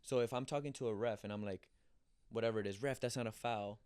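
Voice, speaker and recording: A young man from Colombia, a former pro soccer player, telling animated stories in a casual interview, acting out quotes and restarting often. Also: fairly low pitch, fast talker, smooth, no room sound, clean studio sound. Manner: animated storyteller, acts out quotes, frequent restarts.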